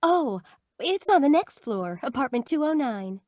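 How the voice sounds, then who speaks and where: A woman playing a chatty, admiring, excitable sweetheart in an old-time radio detective drama. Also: high voice, unhurried, no room sound, clean studio sound. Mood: chatty, eager. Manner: gushing, chatty, eager. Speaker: actor. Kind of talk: old-time radio comedy-mystery acting. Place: live online video call.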